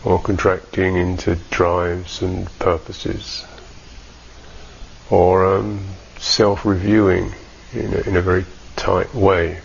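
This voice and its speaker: A man teaching a guided meditation in a measured, halting way with long pauses, on a dull recording. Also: low voice, level tone, creaky, slight background noise.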